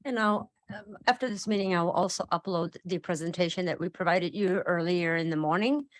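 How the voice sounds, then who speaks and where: Brief: woman, staff presenter, very low voice, dry sound, measured delivery of numbers. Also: dry recording. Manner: measured, reading out figures with "um" pauses. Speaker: county staff presenting the budget. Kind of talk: budget presentation.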